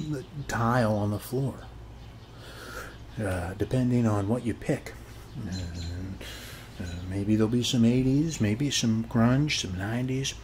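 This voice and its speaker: A man's voice: medium pitch, darkish tone, slight background noise. An American man performing an ASMR roleplay as a chatty, boastful suburban neighbor, rambling in a folksy way and often checking in with the listener.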